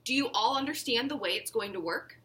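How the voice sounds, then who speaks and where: A woman reads as a storybook father organising children's party games. The delivery is bright and emphatic, with lively rises and falls. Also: a little high, a little fast. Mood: instructive, rousing. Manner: emphatic, instructive.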